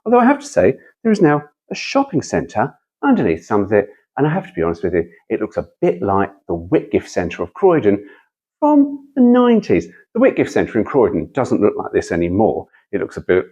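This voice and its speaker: Husky man, wildly animated pitch. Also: muffled recording. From United Kingdom. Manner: rambling, playful, frequent self-interrupting asides. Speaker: car vlog host. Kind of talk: casual chat mixed with reading a brochure aloud.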